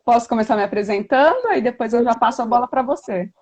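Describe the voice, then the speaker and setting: A woman's voice: on the high side, lively intonation, quick pace, a little mellow. A Brazilian woman hosting a live video-call interview as a doula, chatty with frequent tag questions. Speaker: doula and host.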